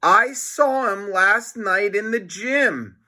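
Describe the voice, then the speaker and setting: A smug storybook schoolboy who loves to tell on others, acted out by a man. The pitch sits well up, the tone is bright and the words are drawn out with self-satisfaction. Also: animated, takes it slowly, heavily acted. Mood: accusing. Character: smug tattletale schoolboy, self-satisfied little know-it-all.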